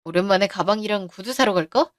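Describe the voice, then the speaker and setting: A woman's voice: medium pitch, fast, no echo, close to an everyday voice. Woman playing a smug cheating husband in a radio drama, brushes everyone off, dry close sound. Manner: dismissive, brushes off worries. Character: scheming middle-aged husband and shop owner.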